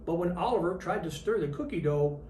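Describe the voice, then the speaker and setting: A reader in character as Santa Claus, reading a children's picture book aloud with expressive storytelling. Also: mid-range voice, fairly quick. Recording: roomy, distant mic with faint noise.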